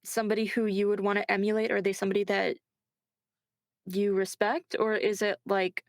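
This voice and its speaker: Female interview guest, an actor. Mid-pitched, dry close recording, thoughtful and measured conversational delivery. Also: flat delivery, dry recording. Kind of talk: casual interview chat.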